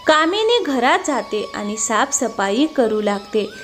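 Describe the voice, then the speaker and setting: A woman's voice: a little high, sing-song, slow pace, a bit bright, slight background noise. A woman storyteller reading scripted narration for a moral tale.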